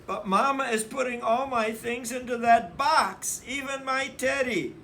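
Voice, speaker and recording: A man's voice: very high voice, fairly even intonation, unhurried, vocal fry, sharp tone, slight background noise, pitched above the performer's own voice, exaggerated voice. A man voicing a young girl bear cub in a storybook, plaintive and emphatic about her things. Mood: upset protesting.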